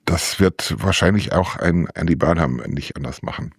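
A man's voice: low-pitched, normal speed, slightly rough, dry recording, clean studio sound. A man giving unscripted political commentary as a correspondent answering interview questions. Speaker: political correspondent reporting from London.

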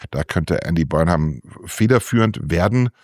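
A man's voice: sits low, lively intonation, moderate pace, a little mellow, no room sound, clean studio sound. A man giving unscripted political commentary as a correspondent answering interview questions. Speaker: political correspondent reporting from London.

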